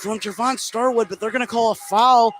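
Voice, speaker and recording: A man's voice: very high voice, steady tone, brisk, a bit bright. A man working as a play-by-play announcer, calling a live basketball game in clipped, present-tense phrases that follow the action. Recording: clear gym noise behind the commentary. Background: gymnasium game ambience.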